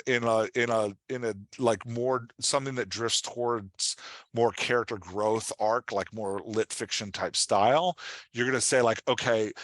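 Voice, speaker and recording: A man's voice: mid-range voice, pushed and bright, no echo. A man teaching live online as a writing coach, explaining in a conversational, encouraging way and repeating phrases for emphasis. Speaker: writing coach answering audience questions.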